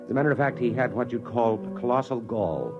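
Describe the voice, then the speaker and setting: A man's voice: medium pitch, lively intonation, a little fast, over music, natural voice. An actor playing an eager, skeptical reporter in a 1950s radio drama, plain-spoken and wry as he narrates and talks. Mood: wry narrating. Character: eager, skeptical, ambitious male newspaper reporter. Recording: dull old-time radio recording.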